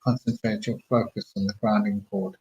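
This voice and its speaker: A man slowly talking listeners through a guided meditation with calm, murmured instructions. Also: lowish voice, dull audio, a little hiss or hum. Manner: slow, soothing, murmured instructions.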